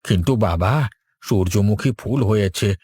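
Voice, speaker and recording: An actor playing a humble, puzzled old farmer in a cartoon, deferential and hesitant. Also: male voice, fairly low pitch, normal speed, warm tone, husky, dry recording, clean studio sound. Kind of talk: Bengali cartoon dialogue.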